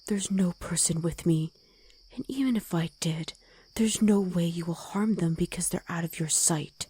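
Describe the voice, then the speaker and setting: A woman voicing a gruff, protective wolf girl ex-soldier in an ASMR roleplay, stern and commanding, often in a hush. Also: on the low side, normal speed. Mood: angry, defiant.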